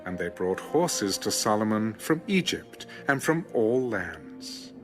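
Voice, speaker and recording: A man narrating scripture as a scripted drama, in solemn, measured storytelling. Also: fairly low pitch, very animated. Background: background music.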